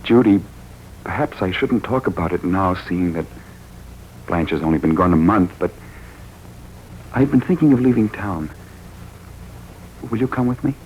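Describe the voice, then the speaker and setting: An actor playing a tormented, murderous husband in a melodramatic, menacingly polite 1940s radio style. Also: male voice, low-pitched, expressive, moderate pace, long pauses, highs cut off. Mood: hesitant, pleading. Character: tormented murderous husband, smooth then crazed. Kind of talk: 1940s radio drama acting. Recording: noisy vintage 1940s radio recording.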